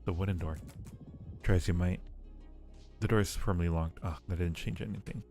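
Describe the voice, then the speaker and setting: A man's voice: low voice, fairly even intonation, normal speed, a little mellow. A man live streaming a game, musing aloud and repeating himself as he reads text and comments. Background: background music.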